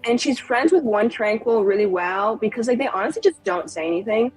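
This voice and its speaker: A woman's voice: on the high side, expressive, a little fast, breathy edge, faint music behind, rough recording. A woman playing a tabletop game, telling an improvised story in a casual, playful, informal way.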